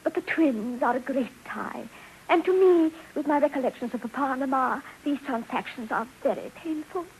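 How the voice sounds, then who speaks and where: An actress playing a genteel, long-suffering debtor's wife in a theatrical, flowery manner for a 1950s radio drama. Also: female voice, sits high, expressive, normal speed, rough recording. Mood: plaintive, genteel. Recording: hissy old 1950s radio recording.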